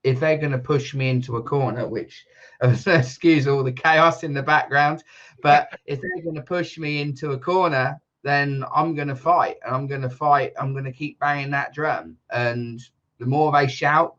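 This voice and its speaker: A man talking casually as a guest, rambling and correcting himself, laughing often and getting louder and higher when worked up. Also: fairly even intonation, normal speed, dull audio. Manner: gets loud and high when fired up. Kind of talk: casual podcast chat.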